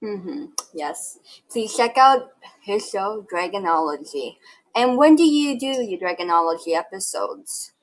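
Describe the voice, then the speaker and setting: Woman, interviewer, medium pitch, animated intonation, short casual prompts. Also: a little slow, poor audio. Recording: background noise with clicks.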